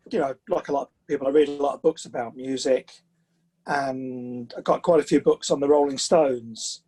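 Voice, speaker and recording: Husky southern English man of sixty. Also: fairly high pitch, darkish tone, low-quality recording. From southern England. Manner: chatty, anecdotal. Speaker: interview guest, author. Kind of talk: conversational interview storytelling.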